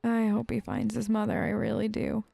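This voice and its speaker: A woman giving casual, chatty role-play commentary over a video game, playful and gentle, often hesitating and tagging on questions. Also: fairly high pitch, monotone, normal speed, gravelly, no echo. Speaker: gamer playing a role-play let's play.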